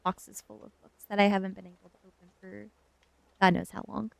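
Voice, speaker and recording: A woman streamer in a soft ASMR style, chatting and reading a story aloud in a gentle, unhurried manner that is hushed at times. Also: medium pitch, flat delivery, gravelly, no room sound, rough recording. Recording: music behind the voice. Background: background music.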